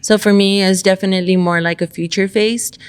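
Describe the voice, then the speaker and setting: A woman's voice: mid-range voice, fairly even intonation, a little fast, clear, resonant tone. A woman from El Salvador reflecting on success and faith in a casual podcast chat, thoughtful and full of fillers and restarts. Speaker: podcast co-host.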